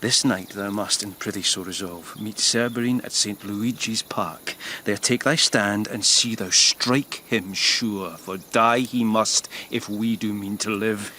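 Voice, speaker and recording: Scheming lordly villain, low-pitched. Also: male voice, unhurried, hardly any pauses, bright, forceful tone. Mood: conspiratorial, commanding. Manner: commanding, smooth, conspiratorial. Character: scheming, cold-blooded young Spanish nobleman, villain. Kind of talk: classical verse radio drama. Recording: clear ambient noise, chirping insects.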